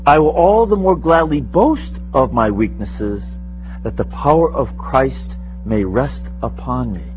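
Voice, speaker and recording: A man's voice: medium pitch, lively intonation, unhurried, dull audio, soft background music. A man in his sixties giving an informal, conversational spiritual talk to a live audience, rambling and self-deprecating with frequent chuckles. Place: meeting room with a live audience.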